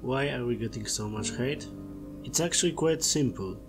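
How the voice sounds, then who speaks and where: A man's voice: mid-range voice, moderate pace. A man with a Polish accent, a non-native English speaker, narrating a scripted video essay. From Poland. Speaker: video creator. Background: background music.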